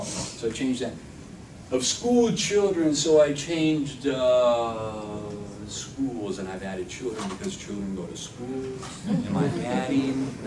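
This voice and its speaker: A man leads a classroom workshop. He poses question after question and often answers them himself. He sounds far from the microphone, with a roomy echo and steady noise behind him. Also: medium pitch. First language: Spanish. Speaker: workshop instructor. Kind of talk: classroom lecture. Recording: echoey room from far off, background noise.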